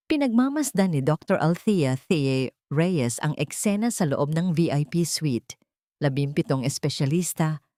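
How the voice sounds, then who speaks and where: A woman narrating a scripted audio drama in a solemn, deliberate, dramatic storytelling style. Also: sits low, darkish tone, dry recording, clean studio sound.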